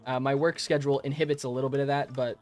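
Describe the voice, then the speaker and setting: A man's voice: medium pitch, level tone, fast talker, darkish tone, soft background music. Chatty young man live streaming. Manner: friendly, rambling, laughs often, many fillers and restarts. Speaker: live streamer answering chat. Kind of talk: casual live-stream chat while gaming. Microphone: modest home microphone.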